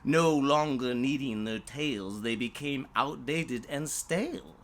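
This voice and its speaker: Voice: medium pitch, takes it slowly. A storyteller reading rhyming tales for children in a playful, dramatic way, drawing out words and sometimes dropping toward a whisper. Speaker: storyteller reading own stories.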